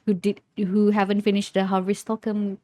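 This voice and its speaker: A woman's voice: mid-range voice, flat delivery, moderate pace, mellow, no room sound. A woman giving casual live reaction commentary as a streamer, hesitant and repeating words as she thinks aloud. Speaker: streamer reacting to a game trailer. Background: faint music from the trailer.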